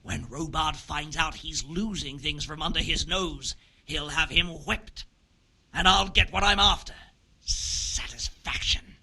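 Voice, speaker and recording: An actor playing a scheming, vengeful criminal who hands out shady jobs in a medieval video game, sly and scornful. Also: male voice, on the high side, measured pace, sharp tone, exaggerated voice, low-quality recording.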